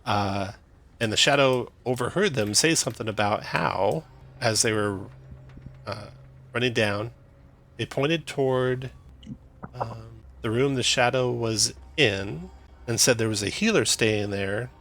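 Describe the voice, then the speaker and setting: A man acting as game master, narrating a tabletop game in a relaxed, explaining way with fillers. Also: medium pitch, a little slow.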